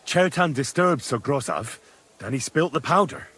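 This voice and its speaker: An actor playing a young, common medieval soldier, frank and matter-of-fact, in a dramatic video game. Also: male voice, medium pitch, lively intonation, normal speed, sharp tone, breathy edge. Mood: frank, assured.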